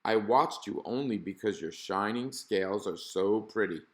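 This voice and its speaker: A man voicing a huge, proud but gentle male blue whale in a children's storybook. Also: fairly low pitch, normal speed, lightly acted. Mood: gentle, explaining.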